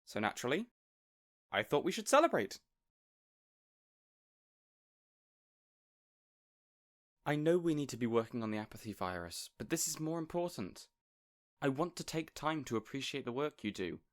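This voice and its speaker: Casting: male actor as affable evil mastermind boss for audio roleplay, mid-pitched, chatty, earnest, playfully bossy. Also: sing-song, brisk, close to an everyday voice. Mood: earnest, appreciative. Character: affable, eager supervillain boss fond of his assistant. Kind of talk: audio roleplay acting addressed to listener.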